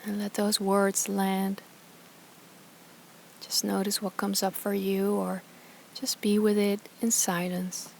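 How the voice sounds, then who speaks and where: A woman with a mid-pitched, very even voice leads a guided meditation. She speaks softly and calmly, leaving space between short phrases. Clear ambient noise sits in the recording. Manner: calm, soft, soothing, with slow pauses between phrases. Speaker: yoga teacher, meditation guide.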